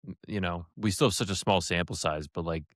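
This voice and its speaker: A man's voice: low-pitched, a little fast, a bit bright, dry recording. A man co-hosting a podcast in casual chat, offhand and wry. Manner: offhand, wry, matter-of-fact. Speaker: podcast co-host.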